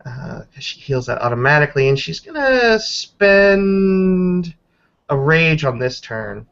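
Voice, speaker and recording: A man's voice: on the high side, big pitch swings, takes it slowly, vocal fry, a bit bright, smooth. Lo-fi man running a tabletop game. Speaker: game master. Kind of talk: tabletop roleplay narration and dice calls.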